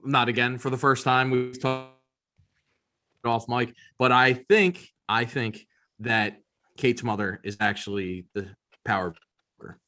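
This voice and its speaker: A male podcast host with a mid-pitched voice chats casually. He speaks informally and shares his opinions freely, as if among friends. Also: steady tone, unhurried, pauses often, bright, forceful tone. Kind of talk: casual chat.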